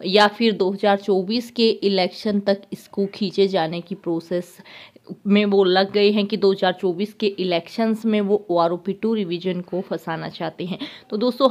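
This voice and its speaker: A woman's voice: mid-range voice, fairly even intonation, moderate pace, a little mellow. A woman hosting a YouTube news channel, conversationally with some hesitations and restarts.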